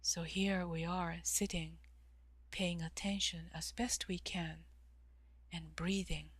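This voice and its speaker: A woman leading a guided meditation in a calm, measured way, with long pauses and soft, breathy phrase endings. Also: on the low side, no echo. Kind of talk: guided meditation, slow instruction.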